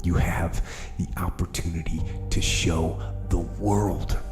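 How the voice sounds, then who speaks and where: A man delivering a dramatic motivational speech with deliberate, emphatic pauses. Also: low-pitched, fairly even intonation. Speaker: motivational speaker. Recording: echoing speech over background music. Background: music.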